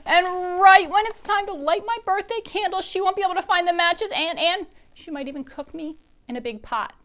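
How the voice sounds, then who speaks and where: A woman's voice: very high-pitched, normal speed, a bit bright, voice pushed up. Woman voicing a dramatic little girl.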